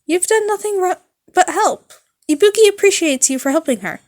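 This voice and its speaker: Woman voicing a high, breezy rock-star girl. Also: expressive, moderate pace, a little mellow. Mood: cheerful, reassuring. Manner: breezy, reassuring, speaks of herself by name. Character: bubbly, kind teenage girl rock star, third-person talker.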